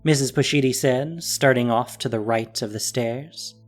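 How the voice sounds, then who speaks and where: A man's voice: medium pitch, normal speed. A man reading scripted fantasy story narration as the narrator of an audio drama. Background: music.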